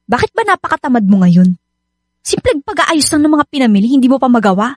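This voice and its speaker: A woman's voice: high-pitched, very animated, quick pace, no echo, clean studio sound. A woman playing a hardworking, nagging and hurt wife and mother in a Filipino radio drama, emotional and scolding. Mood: exasperated. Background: background music.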